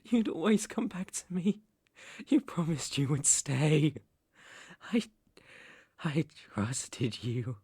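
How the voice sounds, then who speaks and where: A man with a British accent playing an unstable, obsessive mafia boss in a dramatic ASMR roleplay, possessive and volatile, with a breathy, gravelly voice. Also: on the high side, very animated, slow pace, pauses often, darkish tone, no echo, studio quality, exaggerated voice. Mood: wounded, menacing. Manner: possessive, volatile, swinging from whispers to outbursts. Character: unstable, obsessive, menacing male mafia boss, jilted lover.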